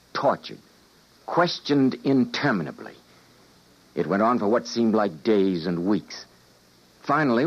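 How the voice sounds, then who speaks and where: A weary, idealistic scientist in an old radio play. His low voice is husky and grave, swinging dramatically as he tells of his downfall. The recording is dull and aged. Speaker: narrator and lead actor.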